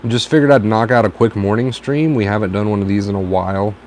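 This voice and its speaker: A tired man with a raspy, dry throat, giving calm advice on a casual live stream and repeating his points for emphasis. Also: lowish voice, fast talker. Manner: reflective, repeats phrases for emphasis. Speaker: host answering viewer questions. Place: home stream from a tablet. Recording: faint background noise, lo-fi stream.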